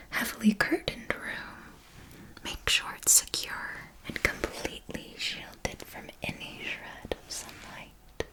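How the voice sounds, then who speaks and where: A woman voicing a seductive, menacing elder vampire mentor in a breathy, deliberate ASMR whisper, with possessive, condescending affection. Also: medium pitch, mellow, close to an everyday voice, low-quality recording.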